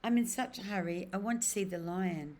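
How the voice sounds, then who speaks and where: A woman's voice: lowish voice, fairly quick, a little mellow, close to an everyday voice. A woman voicing an earnest young boy who speaks urgently. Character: earnest young boy, anxious about his mother.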